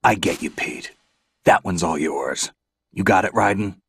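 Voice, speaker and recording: A man's voice: sits low, unhurried, creaky, a little hiss or hum. An actor playing a seasoned male soldier in a video game cutscene, terse and matter-of-fact. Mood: gruff. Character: seasoned male soldier, gruff, calm, dependable. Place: recording studio. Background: game score music.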